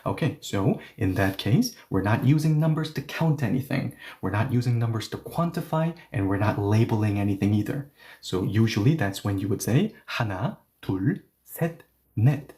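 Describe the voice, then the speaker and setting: A man teaching a language lesson who explains patiently, often pausing on "okay, so" and "yeah", and switches between explanations and slow model readings. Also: on the low side, lively intonation, mellow.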